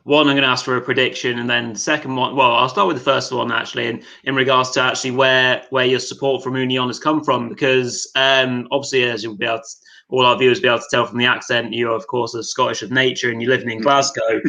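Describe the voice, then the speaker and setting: A man's voice: mid-range voice, little pitch movement, fast talker, vocal fry, sharp tone. A man co-hosting a football podcast, talking casually in a rambling way with many fillers and restarts. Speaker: podcast co-host.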